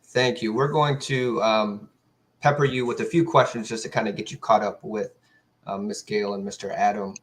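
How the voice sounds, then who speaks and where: A man moderating a virtual judicial candidate forum, asking questions in a polite, measured way with some fillers. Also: on the low side, fairly even intonation. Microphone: video call.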